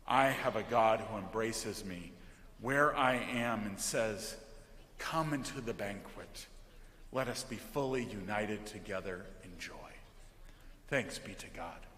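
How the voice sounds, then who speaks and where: An American man preaching a sermon as a pastor, earnest and emphatic, with phrases that often trail off softly. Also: on the low side, slow pace, a little hiss or hum. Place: large indoor church during worship. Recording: echoey church hall with long reverb.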